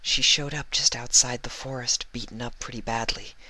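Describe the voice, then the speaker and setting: A man voicing a shy, gentle young female pegasus nurse from a cartoon fan story, soft and breathy with hesitant, timid speech. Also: medium pitch, fairly even intonation, moderate pace, dry recording, pitched above the performer's own voice, rough recording. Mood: gentle concern. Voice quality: soft, breathy, half-whispered.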